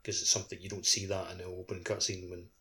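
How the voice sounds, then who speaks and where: A man's voice: deep voice, flat delivery, quick pace, bright, forceful tone. A man co-hosting a gaming podcast, chatting casually. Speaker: podcast co-host.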